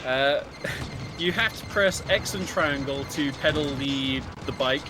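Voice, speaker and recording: A man giving casual, explanatory speedrun commentary in a somewhat halting way. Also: on the high side, over a noisy crowd, rough recording. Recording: game music behind the voice. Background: video game music.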